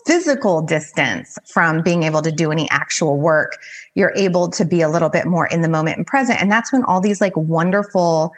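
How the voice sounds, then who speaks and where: Casual woman, slightly low voice. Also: expressive, fairly quick. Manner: informal, heavy use of "like". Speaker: life coach, podcast guest. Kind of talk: casual conversational advice.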